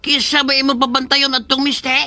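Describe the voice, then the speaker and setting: A squeaky, panicky Filipino sidekick. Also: male voice, level tone, fairly quick, sharp tone, full character voice. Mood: uneasy, questioning. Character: timid, panicky male sidekick, easily scared. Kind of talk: comic Philippine radio drama acting.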